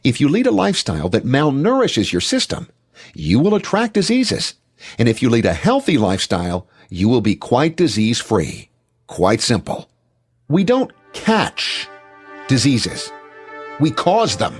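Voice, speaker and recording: A man's voice: mid-range voice, huge pitch swings, a little slow, breathy edge, soft background music. A man giving a motivational health talk in an emphatic, declarative manner.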